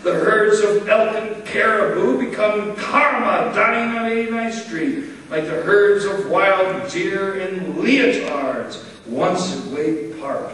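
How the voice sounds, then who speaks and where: A man's voice: high-pitched, fairly bright tone. Echoey, far-off man declaiming poetry. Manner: theatrical, declamatory, drawn-out emphatic phrasing with dramatic pauses. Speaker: poet reading aloud at a poetry event. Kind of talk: dramatic spoken-word poetry reading. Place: large church interior, live reading. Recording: very echoey church hall, far-off mic, faint noise.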